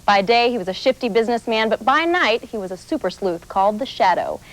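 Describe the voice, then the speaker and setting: High-pitched woman, entertainment reporter. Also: lively intonation, a little fast, low-quality recording. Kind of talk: scripted promotional narration.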